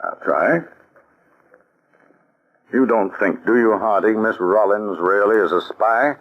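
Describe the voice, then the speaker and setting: An actor playing an anxious, lovesick army colonel in an old radio drama, speaking in an earnest, halting way. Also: male voice, lowish voice. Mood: troubled. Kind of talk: 1940s radio acting. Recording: dull lo-fi old radio recording.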